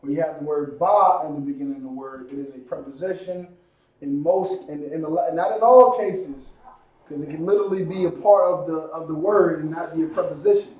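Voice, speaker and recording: A man teaching a language class, drilling words slowly and quizzing his student. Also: fairly high pitch, a little mellow, distant mic. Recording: echoey room, voice from off-mic.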